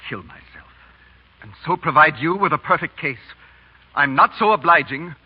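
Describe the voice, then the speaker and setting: Husky, dutiful army captain, old radio. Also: male voice, a little high, normal speed. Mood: bitter. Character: dutiful, proud French army captain, wrongly accused. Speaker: radio drama actor. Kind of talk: 1930s radio acting. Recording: muffled 1930s radio recording.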